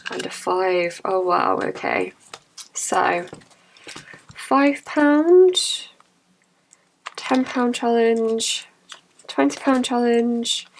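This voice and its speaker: A young woman chatting casually to camera about her budget, her voice croaky and cracking from a cold, with chatty, apologetic asides. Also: medium pitch, slight background noise, rough recording. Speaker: budgeting vlogger.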